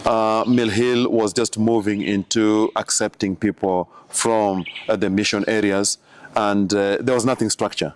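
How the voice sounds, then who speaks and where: A man in his forties from Uganda, a missionary priest answering interview questions slowly, with many pauses and fillers. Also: lowish voice, fairly even intonation, a little hiss or hum. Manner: slow, halting, many fillers.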